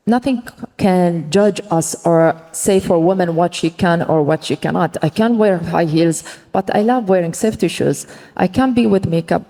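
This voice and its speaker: A woman's voice: lowish voice, creaky, warm tone. A middle-aged woman with an Emirati accent telling a personal story as a panel speaker. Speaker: panel speaker, aviation engineer. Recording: reverberant hall sound system.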